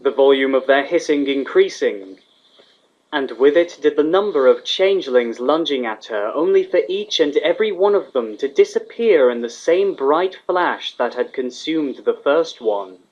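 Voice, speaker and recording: A man's voice: medium pitch, expressive, normal speed. A man reading fan fiction aloud as a scripted story narrator. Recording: dull, lo-fi sound.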